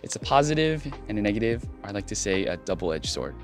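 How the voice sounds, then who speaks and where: A man's voice: fairly low pitch, sing-song, fast, faint music behind. A young man from San Francisco, an English teacher answering a street interview outdoors in an earnest, reflective way. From San Francisco, California. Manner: earnest, reflective, drops Korean phrases. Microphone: handheld mic held by interviewer.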